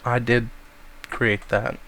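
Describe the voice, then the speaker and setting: A man with a cold giving a casual, rambling tour of a game world, speaking softly to spare his voice. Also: medium pitch, little pitch movement, slow pace, slight background noise. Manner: rambling, many ums, soft-spoken to spare his voice. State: has a cold. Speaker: gamer giving a server tour.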